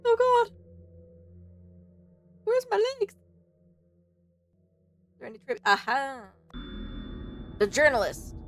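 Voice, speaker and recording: Woman let's play streamer, higher register, casual commentary over game music.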